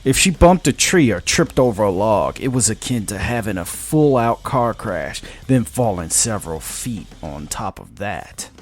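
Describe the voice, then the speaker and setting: A man's voice: fairly low pitch, very animated, moderate pace, hardly any pauses. A man narrating a scripted science fiction story in a dramatic style.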